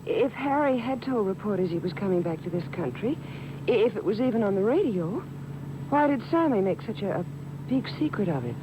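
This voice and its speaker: An actress playing a frightened, fretful woman with halting, hesitant speech in a 1950s radio mystery. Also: mid-range voice, expressive, lightly acted. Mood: puzzled, anxious. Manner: halting, breathless hesitations and repeats. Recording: noisy old radio broadcast taped on cassette.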